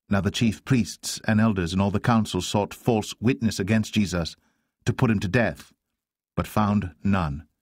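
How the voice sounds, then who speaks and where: Deep voiced guy reading the bible aloud, solemn and deliberate, really dry studio-like sound. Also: dry recording. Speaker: audiobook narrator.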